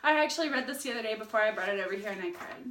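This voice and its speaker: A woman's voice: mid-range voice, lively intonation, rapid-fire, fairly bright tone, a little hiss or hum. A young woman co-hosting a book review, chatting informally and expressively with personal asides. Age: young adult. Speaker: co-host of a book review channel. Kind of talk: casual chat, personal anecdotes. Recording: roomy indoor echo.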